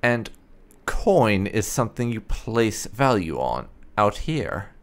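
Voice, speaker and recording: A man's voice: fairly low pitch, very animated, takes it slowly. A man giving casual gameplay commentary and reading dialogue choices aloud, in a musing, understated, polite manner. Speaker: game streamer doing roleplay playthrough.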